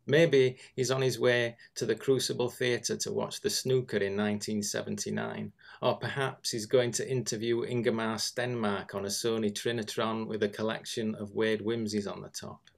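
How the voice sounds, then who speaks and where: A British man reading observational prose aloud in a deadpan manner. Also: fairly low pitch, creaky. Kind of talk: literary reading, observational narration.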